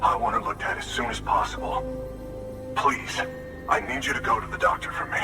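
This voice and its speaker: A worried husband from a video game, urgent and halting. His pitch moves very widely as he pleads, and he sounds echoey and a little distant, with music behind. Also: huge pitch swings, exaggerated voice. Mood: urgent pleading.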